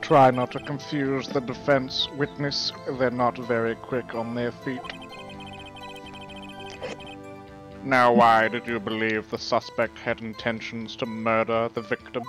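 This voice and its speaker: Man voicing a cutting prosecutor, dismissive, deliberate, average pitch, courtroom video game dub, faint music. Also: level tone, close to an everyday voice.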